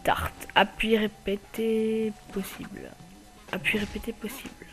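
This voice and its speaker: A man's voice: sits high, flat delivery. A man with a slight cold giving casual, hesitant commentary over his own gameplay as channel host. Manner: hesitant, many filler pauses.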